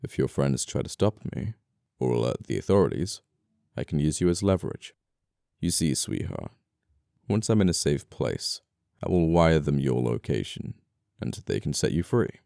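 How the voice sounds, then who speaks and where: A man acting as an amoral but apologetic, flirty murderer in an ASMR audio roleplay, speaking with unhurried, polite menace. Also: very deep voice, big pitch swings, gravelly, warm tone, no room sound, studio quality, full character voice. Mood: calm threat.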